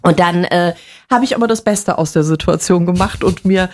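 A woman in her forties, a television presenter telling lively stories in a casual interview, laughing often and using many fillers. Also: fairly low pitch, moderate pace. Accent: none, standard German with slight Berlin touches. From Bavaria. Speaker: TV presenter guest.

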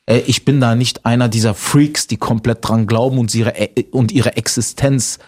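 Chatty comedian guest. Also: male voice, mid-range voice, fairly even intonation, normal speed, darkish tone, slightly rough. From Berlin, Germany. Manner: chatty, self-mocking, lots of fillers. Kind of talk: casual interview chat.

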